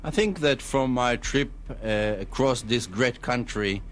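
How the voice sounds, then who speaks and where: A man answering radio interview questions as an official spokesman, in a measured, diplomatic, halting way. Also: mid-range voice. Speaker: embassy spokesman, interview guest.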